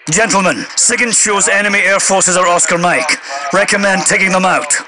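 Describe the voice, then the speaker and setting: An actor playing a stern British major general in a video game, giving clipped, commanding orders through a radio filter. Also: male voice, high-pitched, normal speed. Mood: urgent warning. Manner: clipped, commanding, formal military brevity. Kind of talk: video game voice-over, military radio announcements. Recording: radio crackle and heavy noise.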